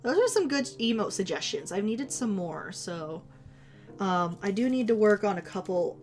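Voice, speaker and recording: A woman's voice: mid-range voice, very animated, moderate pace, faint music behind. A woman streamer chatting casually with her viewers, rambling and giggling, her voice a little worn as if she is losing it. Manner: giggly, rambling, self-teasing.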